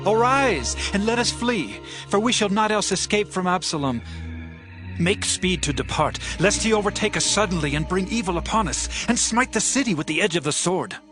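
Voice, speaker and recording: A man's voice: sits high, animated, moderate pace. An actor playing a grieving, devout king in a dramatized scripture audiobook, speaking in a solemn, archaic, declamatory way. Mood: urgent. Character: grieving, devout king fleeing his rebel son. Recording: loud music under the voice.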